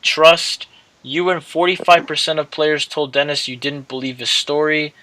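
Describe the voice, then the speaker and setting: A man's voice: a little high, steady tone, moderate pace, bright, forceful tone, no room sound. A man hosting a casual let's-play, reading game text aloud and often stumbling and rereading as he goes. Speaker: gaming video host. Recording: clearly noisy home recording.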